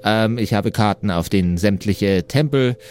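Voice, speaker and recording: A man's voice: lowish voice, moderate pace, a little mellow, slight background noise, voice pushed down, lightly acted. A man playing a slight, fussy, eager map seller in an improvised fantasy audio play, stammering and repeating himself politely. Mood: polite, flustered. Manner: stammering, repetitive, muttering, polite. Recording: music under the voice.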